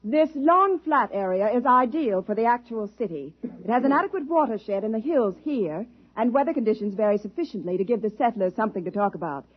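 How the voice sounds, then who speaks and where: An American actress playing a stern, formal, impatient expedition commander in a 1950s science fiction radio drama. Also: female voice, fairly high pitch, animated, brisk, no room sound. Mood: businesslike. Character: stern, by-the-book female space expedition commander. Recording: dull lo-fi 1950s radio recording.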